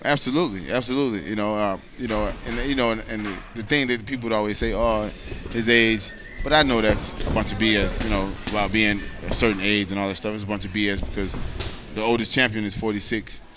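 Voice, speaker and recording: An American man in his late thirties with a fairly low voice. He talks like a boxer giving a casual interview, earnest and rambling, padding his thoughts with "you know.". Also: fairly even intonation, fast, pushed and bright, a little hiss or hum. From United States. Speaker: professional boxer, interview guest.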